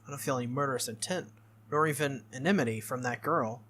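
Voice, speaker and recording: A game playthrough host reading a visual novel aloud in an expressive way, with casual reactions. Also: medium pitch, brisk, slight background noise. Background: game music.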